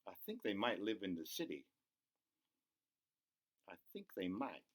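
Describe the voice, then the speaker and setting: A man's voice: on the low side, wildly swooping pitch, a little slow. A man hosting a children's read-aloud, playfully reading a picture book and murmuring chatty asides.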